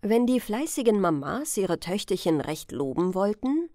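A woman narrating a scripted fairy tale as a bedtime audiobook, expressive and carefully phrased. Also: medium pitch, moderate pace, dry recording, studio quality.

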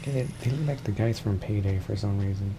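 A man's voice: lowish voice, fast talker. A man with a slightly froggy voice giving casual, laid-back video game commentary, muttering to himself as he plays in his room at home. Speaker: gameplay streamer. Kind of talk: casual let's play commentary. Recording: clearly noisy home recording.

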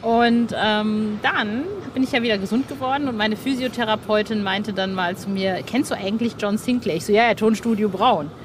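A woman's voice: mid-range voice, normal speed, few pauses. A woman chatting informally and enthusiastically as an interviewer in a casual conversation. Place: outdoor roof terrace in a city. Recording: outdoor city noise, traffic behind.

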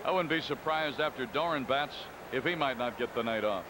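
A man calling baseball play-by-play in a calm, conversational way, reading out stats between pitches. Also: fairly quick. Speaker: play-by-play announcer. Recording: broadcast booth over loud crowd noise. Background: stadium crowd ambience.

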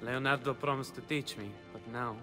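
An actor playing a cocky, cheeky young Renaissance gambler and painter's assistant in a video game. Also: male voice, medium pitch, steady tone, normal speed, music in the background, natural voice. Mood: subdued. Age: young adult. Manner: cheeky, flippant, theatrical. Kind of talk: dramatic video game cutscene acting.

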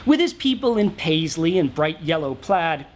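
A man's voice: on the high side, big pitch swings, moderate pace, a little hiss or hum. A man in his thirties reading a storybook aloud in rhyme, theatrical, rhythmic and emphatic. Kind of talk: dramatic rhyming storybook narration. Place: small cosy brick-walled lounge indoors.

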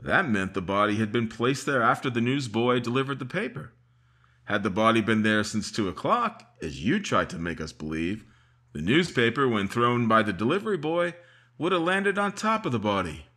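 Radio mystery: a man voicing a self-assured sheriff who explains the case step by step. His voice is bright, with a lively, rising and falling pitch. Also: moderate pace. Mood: confident. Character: confident male sheriff, triumphant. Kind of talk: radio drama acting.

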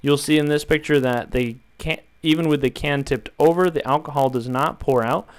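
A young man calmly walking viewers through a build, step by step, in an instructional voice-over. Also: a little high, normal speed, clear, resonant tone. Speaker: DIY tutorial maker.

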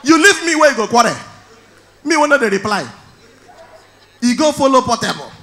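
A man in his thirties with a Nigerian accent, performing stand-up on stage with a handheld mic, telling stories loudly and shouting his punchlines. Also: extremely high pitch, very animated, normal speed. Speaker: stand-up comedian. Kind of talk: stand-up comedy in Pidgin. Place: large indoor event hall on stage.

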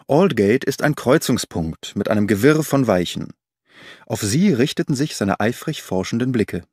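Male audiobook narrator, expressive pitch. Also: no room sound, clean studio sound. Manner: measured, precise reading. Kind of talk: scripted literary narration.

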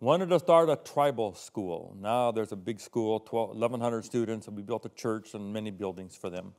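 Older pastor preaching with swelling emphasis. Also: male voice, on the low side, normal speed. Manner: swings from soft asides to loud, emphatic scripture reading. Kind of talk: sermon. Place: studio set with a podium.

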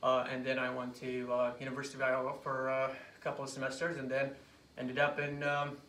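Halting young man, echoey office recording. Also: mid-range voice, completely flat delivery, sharp tone. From Iraq. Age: late 20s. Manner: halting, repeats words and phrases. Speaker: chiropractor being introduced. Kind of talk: personal story in an informal interview. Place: small office room indoors. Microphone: phone camera a few feet away. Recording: echoey room, distant mic, faint noise.

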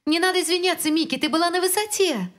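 Perky cartoon angel girl, extremely high, bright, confident, music underneath. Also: fast talker. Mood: cheerful. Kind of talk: animated cartoon dub acting.

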